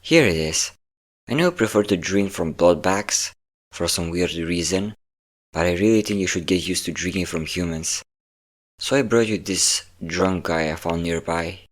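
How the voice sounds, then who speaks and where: A man's voice: sits low, moderate pace, lightly acted. A man playing a possessive, domineering vampire lover, teasing and commanding the listener in an intimate audio roleplay. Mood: stern, coaxing. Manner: teasing, commanding, breathy whispers at times.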